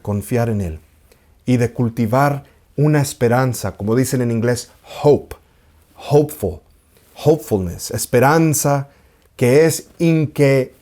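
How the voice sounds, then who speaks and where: A man's voice: mid-range voice, mellow. A man teaching as an Orthodox priest, raised in an English-speaking country, speaking earnestly, repeating phrases for emphasis and slipping in English words. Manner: halting, apologetic about his Spanish. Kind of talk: spiritual teaching talk.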